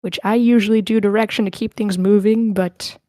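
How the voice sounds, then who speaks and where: Casting: informal female host of an online script read, mid-pitched, closely recorded with little room sound. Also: fairly even intonation, a little fast, mellow, dry recording, studio quality. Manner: informal, chatty. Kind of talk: casual chat, organizing a cast.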